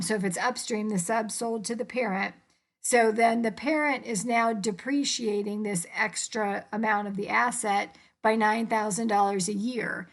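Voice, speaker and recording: Female instructor, slightly high voice, informal and explanatory, walking through worked problems. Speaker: accounting instructor.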